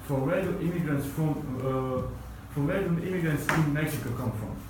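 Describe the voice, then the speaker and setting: A man's voice: fairly high pitch, noisy background. A man in the audience asking a question, stopping and rephrasing as he goes. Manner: halting, rephrases. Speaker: audience member. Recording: echoey hall, far from mic.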